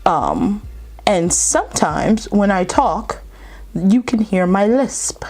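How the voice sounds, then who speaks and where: A woman's voice: mid-range voice, expressive, takes it slowly, a little mellow. A young woman with a slight lisp, chatting casually through a makeup tutorial with plenty of fillers. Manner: chatty, rambling, lots of fillers. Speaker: beauty vlogger.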